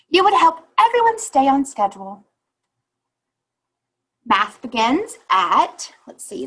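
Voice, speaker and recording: A woman reading a children's picture book aloud like a teacher, pausing to think aloud and explain. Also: on the high side, a bit bright, breathy edge, poor audio.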